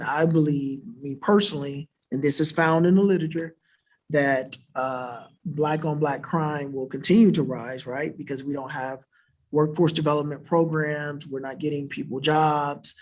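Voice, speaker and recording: Earnest, explanatory man with a higher voice. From South Florida, USA. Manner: earnest and explanatory, often adds a questioning "right?" to his points. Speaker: invited panelist answering audience questions. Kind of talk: unscripted panel answer. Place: church worship center.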